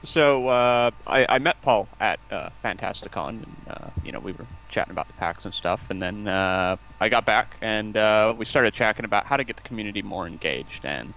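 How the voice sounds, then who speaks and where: A man speaking as a guest on an online call, chatting casually in a halting, conversational way. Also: fairly low pitch, fairly bright tone, low-quality recording. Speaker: interview guest. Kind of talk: casual chat, recounting.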